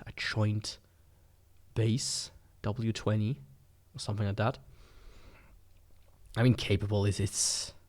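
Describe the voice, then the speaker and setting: Casual, rambling man reviewing a gadget. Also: lowish voice. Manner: rambling, informal, skeptical. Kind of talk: casual unscripted product review.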